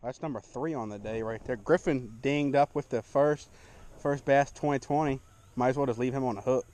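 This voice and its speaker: A man's voice: medium pitch, normal speed, darkish tone. Laid-back guy fishing from a boat. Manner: laid-back, self-deprecating banter. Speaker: fishing vlogger. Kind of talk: casual outdoor fishing narration. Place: small boat on a lake, outdoors. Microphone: action camera mic.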